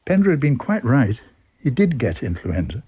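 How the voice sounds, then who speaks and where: A man's voice: mid-range voice, very animated, normal speed, phone-quality sound, slight background noise. A man with a British accent reading a story aloud as dramatic scripted narration. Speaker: story reader.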